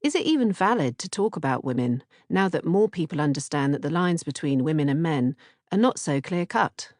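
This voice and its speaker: Woman, animated pitch, scripted audiobook reading, dry recording. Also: a little fast, no echo. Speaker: author-narrator reading audiobook, podcast co-host.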